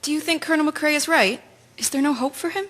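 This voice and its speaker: A woman playing a soft-hearted, sorrowful wartime nurse in a radio drama, plaintive and earnest. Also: high voice, quick pace, slight background noise. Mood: anxious, sad.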